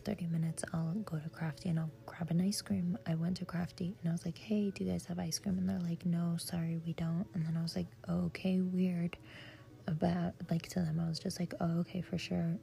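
A young woman telling a casual story in a steady whisper, chatty and full of fillers, swearing now and then. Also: lowish voice, gravelly.

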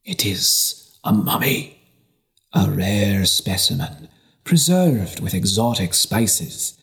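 A man's voice: lowish voice, sing-song, slow, vocal fry, fairly bright tone, heavily acted. A man reading an audiobook, voicing a wily travelling trader with a grandiose salesman's patter. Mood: grand, wondrous. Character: wily male travelling trader peddling exotic rarities.

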